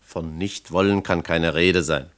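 An actor playing an earnest, cautiously discouraging male official in a radio play, with a measured, formal, probing manner. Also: deep voice, fairly even intonation. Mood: explaining, conciliatory. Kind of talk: German radio play acting.

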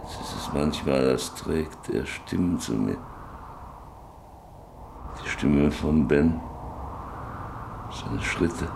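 An actor playing a sick, stubborn, lonely old rancher in a German radio play, halting and wistful, lost in memories. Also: male voice, extremely low pitch, vocal fry, darkish tone, exaggerated voice. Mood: wistful, haunted. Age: older. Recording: noisy radio play with ambient sound.

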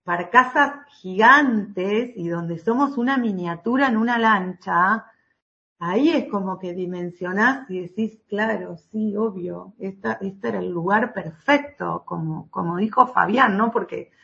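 A woman's voice: medium pitch, a little slow, creaky, a bit bright, muffled recording. A woman from Entre Ríos, Argentina, with an Argentine accent, giving interview testimony as a lawyer. She speaks hesitantly, with many fillers and restarts. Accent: Argentine Spanish. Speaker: lawyer for human rights office.

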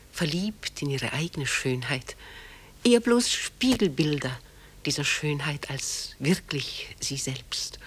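An older actress playing a genteel, proud old mother who clings to the past, in a measured, dignified radio play style with a husky voice. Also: sits low, full character voice. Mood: wistful, proud. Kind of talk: German radio play acting.

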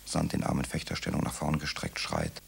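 A man narrating a radio drama in a calm, measured way. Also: a bass voice, slight background noise. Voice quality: gravelly.

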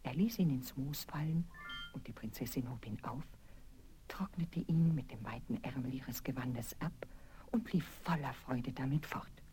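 Low-voiced woman telling fairy tales. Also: normal speed, dark tone, slight background noise. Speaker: storyteller narrator. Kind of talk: scripted fairy-tale narration for children. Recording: vinyl record transfer with music.

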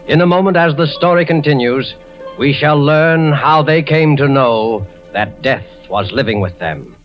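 A man with a measured, dramatic manner introducing a 1940s radio suspense programme from a script. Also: a little high, lively intonation. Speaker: programme announcer. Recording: muffled 1940s radio recording, music behind.

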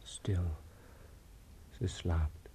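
A man's voice: very slow, in a whisper. A man playing an aging, dreamy, half-mad guitarist and storyteller in a radio drama, with a poetic, murmuring delivery. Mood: tender, wistful. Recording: loud guitar music behind.

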